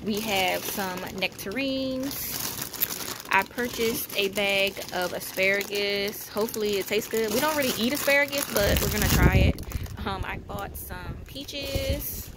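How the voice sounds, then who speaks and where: A woman vlogger narrating a haul casually at home, in a matter-of-fact, halting way as she lists items. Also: medium pitch, heavy background noise. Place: indoors at home, carpeted room.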